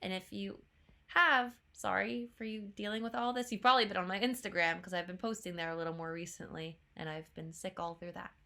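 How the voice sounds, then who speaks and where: A woman's voice: mid-range voice, steady tone, brisk, pushed and bright. A young woman with a stuffy nose from a cold, chatting bluntly as a YouTuber reacting to a trailer. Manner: chatty, blunt, swears casually. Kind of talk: casual chat.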